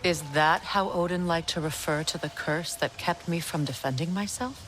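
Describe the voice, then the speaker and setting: Woman acting a low-voiced, steely warrior goddess. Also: fairly bright tone, a little hiss or hum, close to an everyday voice, rough recording. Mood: bitter, pointed. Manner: measured, pointed. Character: proud, steely warrior goddess with old grievances. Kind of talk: video game cutscene acting.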